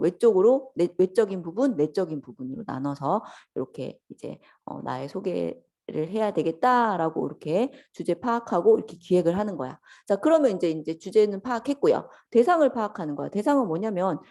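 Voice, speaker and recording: A woman lecturer speaks in a slightly low, mellow voice that is hoarse from a lingering cold. She explains step by step in a conversational, instructional way, addressing her students politely and directly. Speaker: lecturer teaching an office software course.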